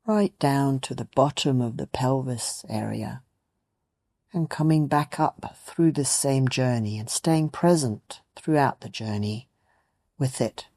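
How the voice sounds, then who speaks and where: A meditation teacher gently leading a guided meditation in a soothing, unhurried way with long pauses. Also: mid-range voice. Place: meditation retreat.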